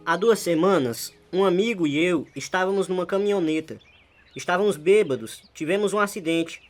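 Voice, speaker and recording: A man's voice: high-pitched, normal speed, faint music behind, lightly acted. A man acting as a humble, troubled hitchhiker in a radio drama, polite and earnest. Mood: haunted, earnest. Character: humble, troubled male hitchhiker seeking salvation.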